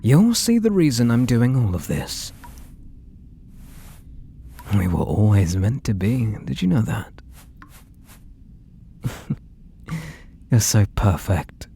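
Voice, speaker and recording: A British man playing an obsessive, possessive artist in love, speaking softly and breathily with tender menace in an ASMR roleplay. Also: mid-range voice, big pitch swings, heavily acted. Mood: adoring, intimate. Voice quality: soft and breathy, often dropping to whispers. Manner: tender, slow, menacingly intimate.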